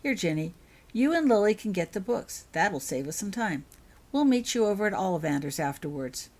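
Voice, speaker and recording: A friendly storybook wizard dad, read by a woman. He guides and explains kindly in a husky voice. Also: mid-range voice, sing-song, normal speed, a little mellow. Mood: businesslike, friendly. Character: friendly, helpful wizard father guiding a child.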